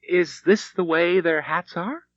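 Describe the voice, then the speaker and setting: A man's voice: fairly high pitch, unhurried, no room sound, lo-fi sound, voice pushed up, natural voice. A man voicing a spirited, proud homesteader wife who scolds in a pert, emphatic way, in an audiobook. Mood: coy.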